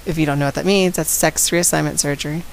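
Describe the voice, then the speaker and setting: A woman speaks to her viewers in a low, gravelly voice, raspy from a head cold. She talks in a direct, outspoken way. A faint hiss sits under the recording. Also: fairly even intonation, fast talker. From San Antonio, Texas. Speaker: vlogger. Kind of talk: casual talk to camera.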